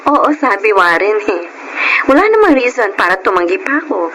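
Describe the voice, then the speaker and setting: A woman's voice: mid-range voice, very animated, brisk, background noise, lightly acted. An actress playing a shy, obedient young woman in a Filipino radio drama. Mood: calm, pleased.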